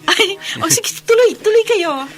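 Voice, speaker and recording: A woman's voice: very high-pitched, animated, unhurried. An actress playing an earnest, hospitable single mother in a radio drama, polite and hesitant, with a Filipino accent. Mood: flustered.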